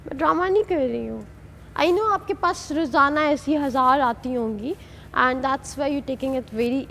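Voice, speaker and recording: A young woman with a high, gravelly voice makes an insistent plea in unhurried speech, mixing Urdu and English. She is a reality show contestant, with faint noise in the background. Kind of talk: unscripted pleading confession.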